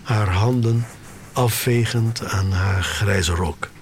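Slow, covetous, wistful male storyteller. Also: fairly low pitch, slight background noise. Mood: subdued, reflective. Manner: deliberate, dramatic storytelling with pauses. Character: sneaker-obsessed man narrating his future orchard life, covetous, wistful. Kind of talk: scripted radio-drama monologue.

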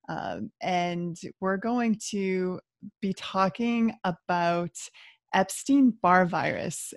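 A woman's voice: on the low side, no room sound. A woman hosts a podcast interview in an upbeat, chatty way, with plenty of "um"s and "uh"s. The recording is dry and close, with no room sound. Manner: enthusiastic, chatty with fillers. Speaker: podcast host.

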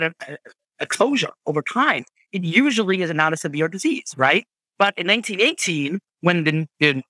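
A male physician answering questions on a video call, explaining in a patient, measured way and checking in with "right?" now and then. His voice sits a little high with a bright edge, recorded close and dry. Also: no echo, low-quality recording. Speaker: physician guest.

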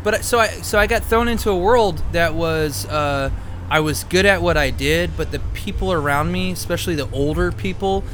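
A man with a nasal, youthful, somewhat high voice tells casual stories as a podcast host. He rambles and restarts his sentences. There is clearly audible vehicle and outdoor noise behind him. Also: moderate pace, fairly bright tone. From West Coast US. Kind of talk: casual chat, storytelling.

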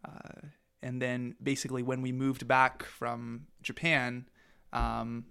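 Young male podcast guest; average pitch, rambling, filler-heavy storytelling. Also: monotone, slow pace, vocal fry, a bit bright, smooth. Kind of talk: casual interview.

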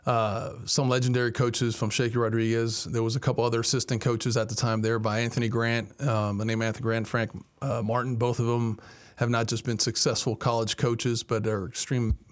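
A man's voice: mid-range voice, flat delivery, brisk. A man in his forties from Miami, a college athletics director, telling his story conversationally as an interview guest. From Miami, Florida, United States. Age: 40s.

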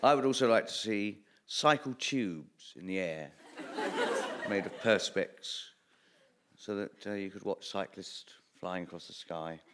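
A man improvising live comedy as a great leader, with a halting, deadpan delivery of absurd pronouncements. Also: low-pitched. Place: radio theatre stage before an audience. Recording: live theatre, loud audience, noisy.

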